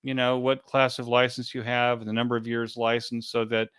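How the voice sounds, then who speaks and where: A man being interviewed as an event organizer, giving an informal step-by-step explanation in a halting, repetitive way. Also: medium pitch, monotone, a bit bright, clear, resonant tone, dry recording.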